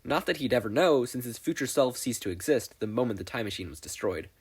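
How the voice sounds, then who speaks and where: A man reading fan fiction aloud as expressive scripted narration, with a playful, wry storytelling manner. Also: mid-range voice, fast talker, breathy edge. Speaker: podfic reader.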